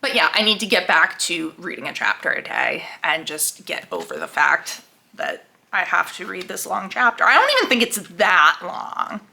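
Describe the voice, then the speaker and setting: A young woman talking casually to camera about what she is reading, chatty and quick to laugh, acting out her own reactions. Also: fairly low pitch, big pitch swings, vocal fry, pushed and bright. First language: English. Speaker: book vlogger.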